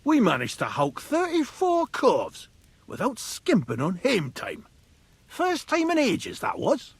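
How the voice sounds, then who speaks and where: An actor playing a grumbling, chatty miner, earthy dialect and a rough, husky voice in a video game. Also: male voice, very high-pitched, huge pitch swings, slow pace, dry recording, full character voice. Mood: proudly recalling. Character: grumbling working miner, exasperated but chatty. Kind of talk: video game dialogue acting.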